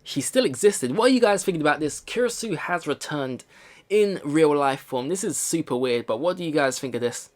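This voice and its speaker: A man's voice: on the high side, lively intonation, brisk. A man hosting a let's play, reading game text aloud expressively and adding casual commentary. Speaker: let's play video host. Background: game music.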